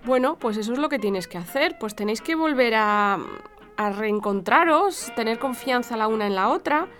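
Una mujer que interpreta a una amiga franca que aconseja y anima, con trato directo en una radionovela. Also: female voice, on the high side, lively intonation, normal speed, fairly bright tone, soft background music. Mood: frank, encouraging. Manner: direct, reassuring. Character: supportive, frank female friend giving advice. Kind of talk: radio drama acting.

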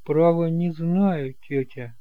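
A man's voice: fairly high pitch, takes it slowly, no echo, phone-quality sound. A man playing an earnest nineteen-year-old nephew, shy but proud, with a hesitant, courteous manner. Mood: earnest, reflective.

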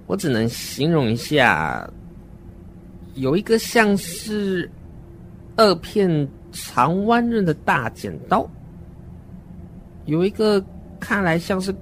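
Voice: mid-range voice, a little hiss or hum. An adult performer voicing a naive, uneasy, wordy seven-year-old child who narrates a horror radio drama in an earnest, dramatic way.

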